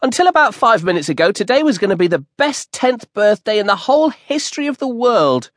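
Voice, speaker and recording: A man's voice: sits high, big pitch swings, fairly quick, no room sound, studio quality, pitched above the performer's own voice. A man voicing a dramatic ten-year-old boy who complains in a whiny, exaggerated way. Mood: dejected.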